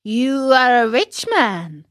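A sly, lame storybook fox, as a woman reader puts him on. He talks slowly and courteously, drawing out his words to win trust like a swindler. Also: on the high side, big pitch swings, fairly bright tone, no room sound, studio quality, voice pushed up, heavily acted. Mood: wheedling. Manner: slow, drawn-out, ingratiating.

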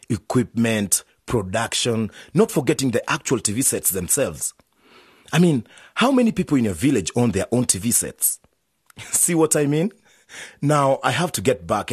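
A man's voice: mid-range voice, breathy edge, no room sound, clean studio sound. An actor playing a busy, slightly patronising male film director in a radio drama, explaining things emphatically. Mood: amused, dismissive.

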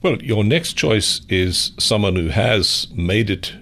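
A man hosting a radio interview, from South Africa, genial and measured as he introduces the music and his guest. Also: lowish voice, expressive, a bit bright. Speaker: radio host. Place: radio studio.

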